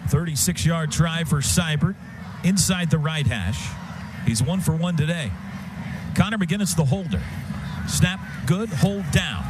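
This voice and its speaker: A man's voice: fairly high pitch, animated, measured pace, background noise. An American man calling live football play-by-play on the radio in clipped, present-tense phrases. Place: stadium radio booth. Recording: live radio broadcast, loud stadium sound behind.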